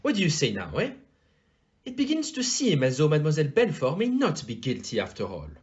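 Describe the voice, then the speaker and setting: A man playing a fussy, courteous middle-aged detective with a French accent and a theatrical, deliberate manner in an audio play. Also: on the high side, wildly swooping pitch, highs cut off. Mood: measured, questioning.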